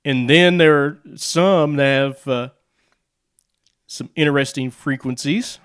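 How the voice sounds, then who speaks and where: A man with a fairly high, smooth voice presents a radio and audio gear demo. He talks casually and takes his time, laying out each point in short, halting phrases. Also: a bit bright. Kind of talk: casual demo and explainer talk.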